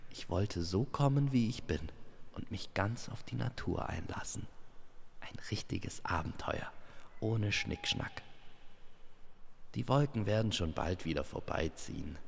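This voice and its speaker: A man voicing a gentle, nature-loving wanderer in an audio story, musing softly to himself. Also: on the low side, vocal fry, in a large hall, a little hiss or hum, pitched above the performer's own voice.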